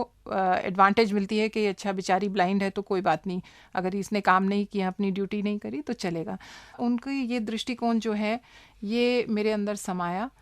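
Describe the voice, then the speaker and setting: A woman's voice: medium pitch, no echo. Older woman in close, dry interview sound. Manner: code-mixes English words into speech. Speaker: interview guest, motivational speaker. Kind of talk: conversational interview answers.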